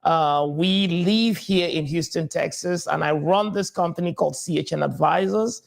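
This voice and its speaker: A man's voice: on the high side, moderate pace, vocal fry. A man from Cameroon, a tax advisor answering interview questions, confident and repeating his key points with some hesitant fillers.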